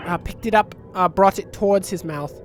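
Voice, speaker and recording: A man's voice: high-pitched, normal speed. A man with a sniffly, runny nose, giving joking, rambling commentary as a let's play host and talking back to the game's characters. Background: game music and spaceship sounds.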